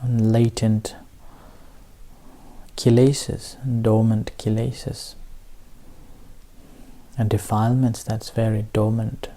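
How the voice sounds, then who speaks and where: Slow, mellow male meditation teacher. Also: on the low side, little pitch movement. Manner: halting, measured phrasing with long pauses. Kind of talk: guided meditation talk.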